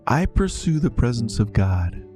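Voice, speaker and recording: A man's voice: mid-range voice, sing-song, measured pace, mellow, soft background music. A middle-aged man hosting a devotional livestream and podcast, talking in a casual, rambling, self-deprecating way with lots of restarts.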